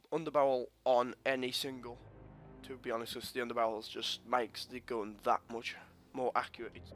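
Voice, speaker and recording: A man's voice: medium pitch, bright, forceful tone. A young man with a slightly congested sore throat, casually talking through a game class setup with lots of fillers and restarts. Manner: rambling, many fillers and restarts. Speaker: gaming channel host. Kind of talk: casual gameplay commentary over menus.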